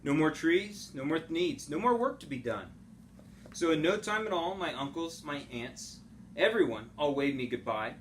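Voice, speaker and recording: A man reading a children's storybook aloud like a teacher, in a rhythmic, dramatic, expressive way. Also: a little high, normal speed. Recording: home recording with clear background noise.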